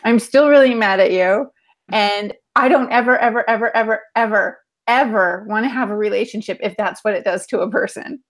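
A woman's voice: mid-range voice, brisk. Female panelist, middle-aged, mid-range voice, casual and emphatic, earbud mic on a call. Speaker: panelist reading chat questions. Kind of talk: casual chat. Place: indoor home room, on a video call. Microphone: earbud headset.

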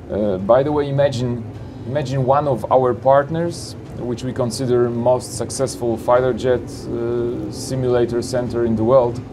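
Gravelly man. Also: medium pitch, noisy background. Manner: upbeat salesy, with frequent "you know" and "of course". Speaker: flight simulator company presenter. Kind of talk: product showcase narration. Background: background music.

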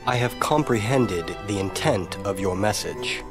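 An actor playing a solemn, stately game king who speaks in a formal, imperious, measured manner. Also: lowish voice, animated. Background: loud orchestral string music.

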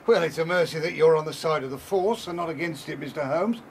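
An actor playing a plain-spoken, cautious British police inspector in a radio drama, with a blunt, questioning manner. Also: male voice, a little high, fast, noisy background, lightly acted. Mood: wary.

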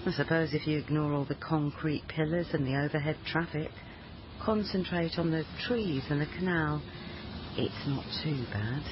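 An actress playing a probing, dry, sceptical police family liaison officer in a naturalistic radio crime drama. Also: female voice, deep voice, moderate pace, highs cut off, noisy background, lightly acted. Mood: dry, observant.

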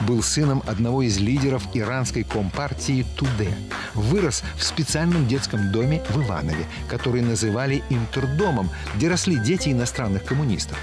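A male documentary narrator with a lively, swinging delivery that rises and falls dramatically. His voice is mid-pitched and sits over a loud music bed throughout. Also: a little fast. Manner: expressive, dramatic storytelling delivery. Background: background music.

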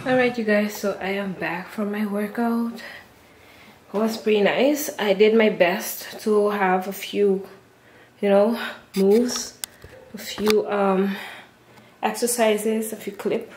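A woman of 24 from Aruba chatting casually to camera in a halting way as she vlogs at home. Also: mid-range voice, darkish tone. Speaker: vlogger. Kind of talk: casual vlog chat to camera. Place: indoors at home, hard-walled kitchen and rooms. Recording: echoey room, some background noise.

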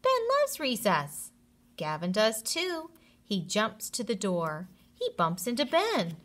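Bright-voiced woman reading to young children. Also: mid-range voice. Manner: expressive, slow, clearly addressed to children. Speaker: kindergarten teacher. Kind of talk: read-aloud storytelling for young children.

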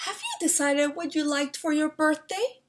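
A woman's voice: sits high, animated, normal speed, voice pushed up. A woman reading a storybook aloud as a kindly, easily flustered señora, speaking emphatically. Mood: astonished. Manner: exclaiming, emphatic.

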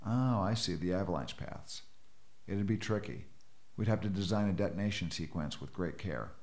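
A man's voice: deep voice, normal speed, darkish tone, close to an everyday voice. An actor playing a thoughtful, cautious technical planner, a man, in a radio drama. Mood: considering.

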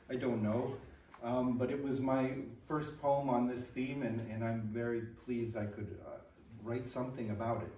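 A middle-aged American man stands in a library hall and reads poems in a measured way after a halting, filler-laden introduction. The mic sits some way off, so his voice carries a roomy echo. A faint room hum lies underneath. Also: mid-range voice, a little mellow. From upstate New York, USA. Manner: hesitant, filler-heavy remarks, then measured reading. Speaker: poet and translator. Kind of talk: poetry reading. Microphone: room mic from a distance. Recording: echoey room, distant mic.

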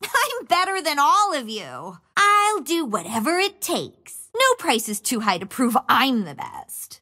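A woman's voice: a little high, fairly bright tone, full character voice. An actress playing a cunning young female assassin in a game, taunting her foes with playful menace and relishing every kill. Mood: boastful. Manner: gleeful, teasing, relishes killing. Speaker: video game character. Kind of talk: game voice lines. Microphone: studio mic.

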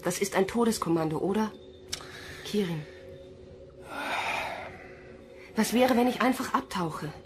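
A woman's voice: medium pitch, expressive, normal speed, natural voice. An actress playing a troubled, defiant young female recruit in a science fiction radio drama. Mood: worried. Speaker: lead actor and narrator of an audio drama. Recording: studio radio play with loud music.

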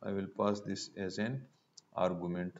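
Male tutorial presenter, slow and halting, thinking aloud through each step, lo-fi mic. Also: low-pitched, steady tone. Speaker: programming instructor. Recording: dull lo-fi mic with noise.

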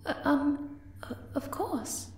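A woman voicing a shy, sweet young moth girl in an ASMR roleplay, gentle and hesitant in manner. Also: high voice, expressive, takes it slowly, slight background noise, exaggerated voice. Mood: nervous. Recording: echoey, distant from the mic.

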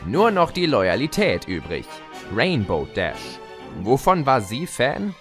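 Man as gloating trickster chaos spirit, very lively pitch, mocking, theatrical, music behind, audio drama. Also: slow pace. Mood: smug narrating.

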